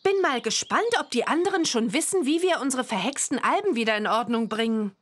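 A woman's voice: on the high side, lively intonation, a little fast. A woman voicing a cheerful, spirited young witch girl in a German children's computer game. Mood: curious.

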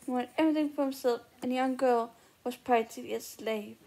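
A very high-voiced, petulant teenage girl, acted out by a young woman, theatrical and full of self-pity. She declaims in a drawn-out amateur movie-scene style. The phone recording has clear background noise. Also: fairly even intonation, warm tone, lightly acted. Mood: storytelling. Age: young adult. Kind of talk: amateur film-scene reenactment. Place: indoor room at home. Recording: noisy handheld phone recording.

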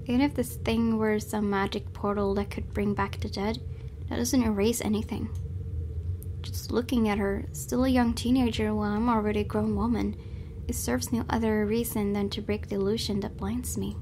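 Woman narrating a visual novel, mid-pitched. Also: steady tone, moderate pace, warm tone, a little hiss or hum. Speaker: let's play host. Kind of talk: dramatic read-aloud narration of a visual novel.

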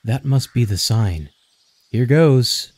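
A man's voice: mid-range voice, expressive, slow pace, darkish tone, a little hiss or hum. A man voicing a young wandering warrior in a comic dub, easily startled, sarcastic and exasperated in a casual way. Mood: hesitant. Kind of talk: animated comic dub acting.

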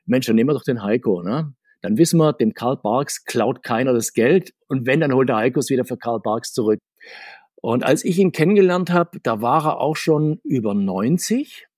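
A man's voice: mid-range voice, expressive, normal speed, a little mellow, slightly rough, no echo, studio quality. A middle-aged man telling anecdotes as an interview guest, chatty and digressive, often restarting his sentences. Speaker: podcast guest, comics author.

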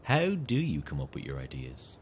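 Audio drama role: smooth-talking admirer, very deep, pushy charmer, slight noise behind. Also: male voice, normal speed, exaggerated voice. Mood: playful, teasing. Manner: flattering, insistent. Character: smooth-talking, coaxing male fan with hidden menace. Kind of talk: naturalistic audio drama acting.

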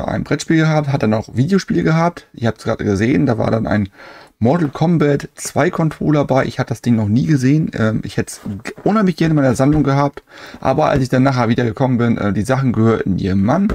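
A man's voice: medium pitch, a little fast, warm tone. A man narrating a retro game collector vlog in a casual, chatty way, with frequent hesitations and "äh" fillers.

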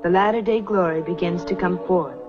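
An actress playing an earnest young pioneer woman who speaks gently and teases a newcomer in a 1950s TV western. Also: on the low side, brisk, natural voice. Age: young adult. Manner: gentle, teasing. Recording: dull old TV soundtrack with music.

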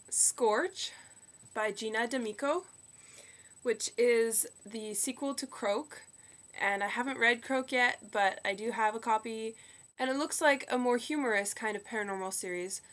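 A young woman with a slightly croaky voice from a cold, chatting upbeat about new books into a webcam in her bedroom. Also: fairly high pitch, a bit bright. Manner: chatty, upbeat, quick asides. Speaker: book vlogger. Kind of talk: casual book haul chat. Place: bedroom at home. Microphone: webcam at desk.

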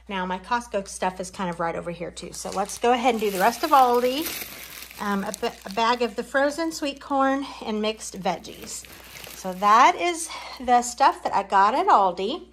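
Woman, slightly high voice, chatty home vlogger, folksy, informal, rambling asides, handheld filming in a kitchen. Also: fairly bright tone. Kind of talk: chatty grocery haul narration.

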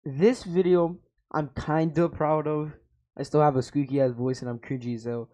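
A man's voice: on the high side, fairly even intonation, normal speed, mellow. A young man with a headset, reacting to his old gaming videos in rambling, self-mocking casual talk that swears freely. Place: small room at home. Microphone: over-ear headset mic.

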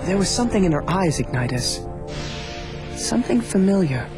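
A man's voice: high-pitched, big pitch swings, moderate pace, loud music behind the voice. An actor playing an earnest, brave young male dragon in a video game cutscene. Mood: troubled, questioning.

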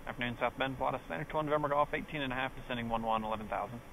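Rapid-fire male pilot on noisy radio. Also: mid-range voice. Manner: clipped, procedural. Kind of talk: air traffic radio calls. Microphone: aviation headset. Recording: loud noise on cockpit radio.

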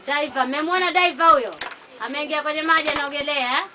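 A woman's voice: high-pitched, fast. A woman with an East African accent interpreting live for children, checking in with little tag questions. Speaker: interpreter for a puppet show. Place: open-sided thatched hall. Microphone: far camera microphone. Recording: noisy, distant camera recording.

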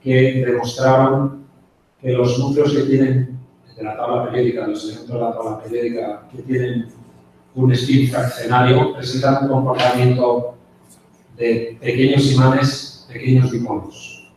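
A man in his sixties with an accent from Spain, giving a conference lecture in a measured way with hesitant pauses. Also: medium pitch, level tone, a little mellow. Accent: Spanish from Spain. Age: 60s. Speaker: guest lecturer, chemist. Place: university auditorium, indoors. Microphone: handheld mic, picked up by distant camera. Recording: echoey hall from far off, faint noise.

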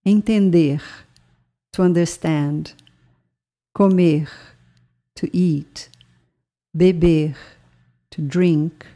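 A woman's voice: on the low side, steady tone, drawn-out words, pauses often, dark tone. A woman narrating a scripted bilingual language lesson, speaking carefully and spelling out words. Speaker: language teacher.

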